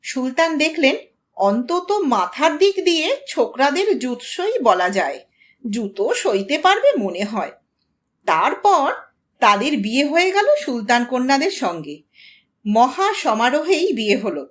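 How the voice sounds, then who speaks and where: A woman narrates a story in a high voice with a wide, swooping melody. Her delivery is unhurried and theatrical, shaped like expressive audiobook storytelling that acts out each part of the tale. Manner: theatrical, acting out dialogue.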